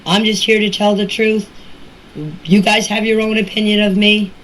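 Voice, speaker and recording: A woman's voice: medium pitch, level tone, normal speed. A woman giving a casual, rambling personal account in plain, folksy words, recorded as voice messages. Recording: noisy voice-message clips with background ambience.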